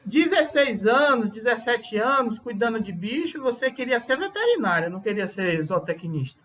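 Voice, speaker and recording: A man's voice: extremely high pitch, big pitch swings, moderate pace, fairly bright tone. A man from Bahia with a Bahian accent, hosting a podcast and asking questions in a chatty, easygoing way. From Bahia, Brazil. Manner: chatty, asks questions, thinks aloud. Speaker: podcast host. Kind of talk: casual interview.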